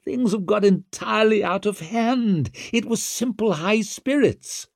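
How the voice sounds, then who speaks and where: A man voicing a desperate, self-pitying accused nobleman in an audiobook, shrill, blustering and wheedling. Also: unhurried, vocal fry, dry recording, studio quality. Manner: wheedling, melodramatic, indignant.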